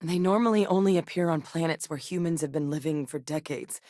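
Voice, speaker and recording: Earnest game scientist, voiced by a woman: low, measured, candid, dry studio read. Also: dark tone, dry recording, lightly acted. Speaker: game character. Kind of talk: video game dialogue.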